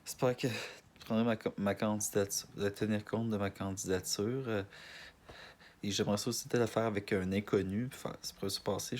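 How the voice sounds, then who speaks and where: Hesitant young Québécois man, lowish voice. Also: darkish tone. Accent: Québécois French. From Montreal, Canada. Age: 20s. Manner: hesitant, rambling, many fillers and restarts. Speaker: casting applicant. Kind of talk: self-introduction video.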